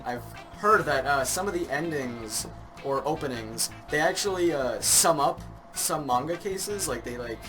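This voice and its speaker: A man's voice: on the high side, expressive, measured pace, music in the background, rough recording. A man chatting casually on a fan podcast in a tentative, agreeable way.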